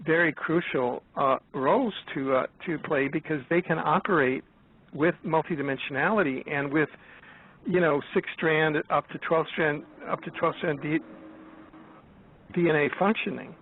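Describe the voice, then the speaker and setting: Husky older American man on phone. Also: on the high side. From United States, born in Florida. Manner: halting, restarts and repeats words. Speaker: guest, author and researcher. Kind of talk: rambling conversational interview. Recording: tinny phone-like line, dry.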